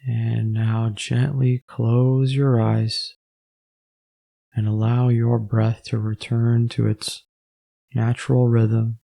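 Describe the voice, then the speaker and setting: Male meditation guide, mellow tone, creaky fry, gentle, measured phrasing, frequent pauses. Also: mid-range voice, little pitch movement.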